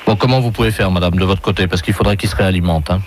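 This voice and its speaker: A man making a prank phone call, speaking in a polite, official, deadpan manner. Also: low voice, rapid-fire. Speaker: prank caller posing as aquarium official. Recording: phone prank recording with faint noise.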